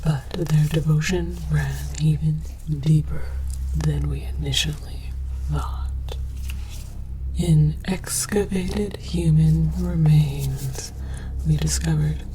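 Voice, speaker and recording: A woman voicing an earnest, bemused alien archaeologist in whispered ASMR, presenting with formal, deadpan academic deliberation. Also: sits low, background noise. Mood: earnest, scholarly. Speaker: host of an educational comedy show.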